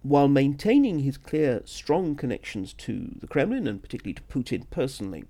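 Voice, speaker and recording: A man's voice: medium pitch, sing-song, a little fast, dark tone. A man talking through political analysis as a solo podcast host, conversational and discursive, with asides and self-corrections.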